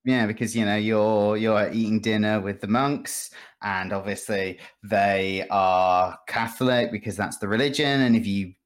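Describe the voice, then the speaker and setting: Chatty man with a slightly low voice. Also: fairly even intonation, measured pace, clear, resonant tone. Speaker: podcast guest. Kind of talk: casual gaming podcast chat.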